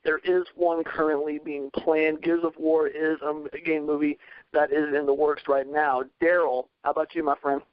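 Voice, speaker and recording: A man's voice: fairly high pitch, flat delivery, normal speed, dry recording. A man hosting a podcast discussion in an affable, agreeable, conversational way. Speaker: podcast host moderating a discussion. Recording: slightly dull, dry radio-like sound.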